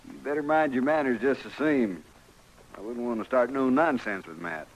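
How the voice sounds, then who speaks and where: A man's voice: medium pitch, normal speed, lo-fi sound, lightly acted. A man playing an easygoing, plainspoken Old West stableman with a drawl in a radio western. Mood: amiable, teasing. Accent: American Western drawl. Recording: faintly noisy vintage radio recording.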